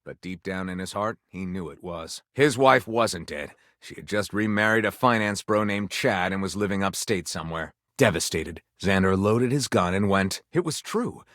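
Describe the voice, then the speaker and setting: A man's voice: low-pitched, expressive, moderate pace, hardly any pauses, a bit bright, no echo, studio quality. A man voicing an overwrought game narrator who tells lurid pulp fantasy in a dramatic, suspenseful style. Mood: melodramatic. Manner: theatrical, sweeping, suspenseful delivery. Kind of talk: dramatic scripted storytelling.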